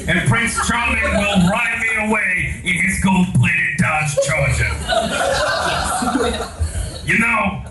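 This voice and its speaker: A man playing a salty, sarcastic old woman barkeep in a live comedy radio drama, with a creaky, theatrical, folksy drawl. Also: sits high, exaggerated voice. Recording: echoey stage, distant mic, music behind.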